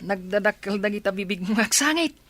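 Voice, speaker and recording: A woman's voice: mid-range voice, lively intonation, brisk, background noise, pitched below the performer's own voice, natural voice. A woman voicing a mocking, spoiled young half-brother in a radio drama, taunting in a teasing tone.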